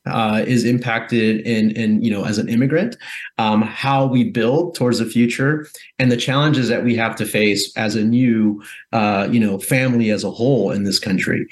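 A man from Guatemala, a guest in an interview, giving thoughtful answers with frequent pauses and restarts. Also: fairly low pitch, fairly even intonation. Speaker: guest, corporate philanthropy executive.

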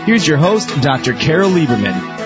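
A man announcing the show and its host in a scripted intro, with a smooth announcer read. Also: on the high side, very animated, normal speed. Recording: lo-fi with music bed.

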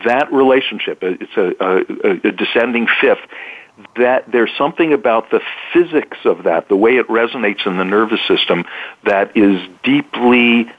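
Calm low-voiced man on lo-fi radio. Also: moderate pace. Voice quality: baritone voice. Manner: calm, explanatory, sings short demo notes. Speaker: guest author. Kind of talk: radio interview. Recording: dull lo-fi radio sound.

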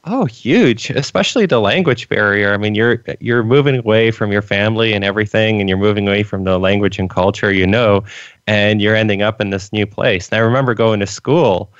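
A man with a North American accent, born in Poland and raised in Canada, answering interview questions in a relaxed way. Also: fairly low pitch, fairly quick, dry recording. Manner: relaxed, laughs now and then, fillers. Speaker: guest, freelancing coach. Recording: dry, dull lo-fi audio.

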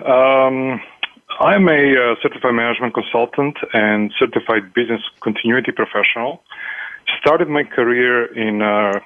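A man answers interview questions over a phone line, pausing often with uhs and ums. The call sounds tinny and thin, and there is no room echo. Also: mid-range voice. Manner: halting, frequent uhs and ums. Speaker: guest consultant.

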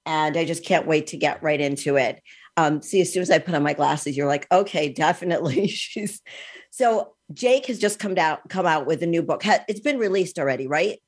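A woman in her sixties with a low voice hosts a podcast interview. She talks in a chatty, eager way and fills pauses with an occasional um. Her low pitch sits well under most women's voices. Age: 60s. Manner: chatty, enthusiastic, uses ums. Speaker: podcast host.

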